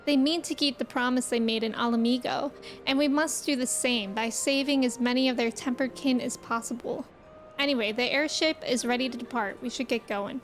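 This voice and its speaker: A woman live-streaming a game, chatting casually and reading aloud in a playful, expressive way. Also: on the high side, fairly quick. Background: game music.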